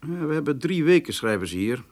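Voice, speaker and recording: Cautious, reassuring negotiator. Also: male voice, fairly high pitch, big pitch swings, a little fast, darkish tone. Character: reassuring, cautious male negotiator advising a farmer. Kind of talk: radio drama acting.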